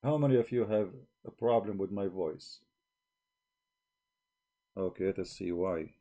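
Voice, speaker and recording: Blunt, challenging man with a low, mellow voice. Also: unhurried. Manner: blunt, challenging, in broken English. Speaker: livestream host. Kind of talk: argumentative live-stream talk. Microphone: desk microphone.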